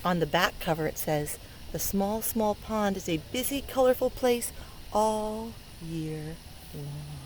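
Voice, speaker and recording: A woman reading a picture book to young children in a playful, expressive way, pausing to ask them questions, recorded outdoors. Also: mid-range voice, rough recording. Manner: playful, expressive, asks children questions, acts out sound words. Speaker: early learning teacher reading a picture book aloud. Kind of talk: interactive read-aloud for young children. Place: outdoors by a lake. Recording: steady outdoor ambient noise by water. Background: outdoor lakeside ambience.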